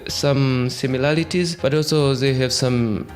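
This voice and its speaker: A man's voice: medium pitch, fairly even intonation, unhurried. A young man from Tanzania, a Swahili speaker hosting a podcast and earnestly reflecting on his own experience. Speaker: podcast host. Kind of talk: personal monologue.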